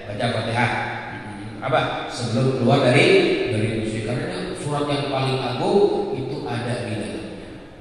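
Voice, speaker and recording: A man's voice: mid-range voice, bright, forceful tone. A middle-aged man, giving an emphatic, didactic Islamic lesson with repetition and rhetorical questions. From Indonesia, Betawi region of Jakarta. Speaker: Islamic teacher. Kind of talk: mosque sermon, religious lesson. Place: large stone-walled mosque indoors. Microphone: handheld microphone through speakers, recorded by far camera. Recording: very echoey hall, distant, faint noise.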